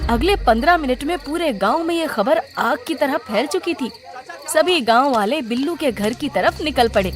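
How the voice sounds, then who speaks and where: A woman's voice: high voice, lively intonation, moderate pace, music in the background. A woman reading scripted storybook narration as the narrator of a cartoon tale.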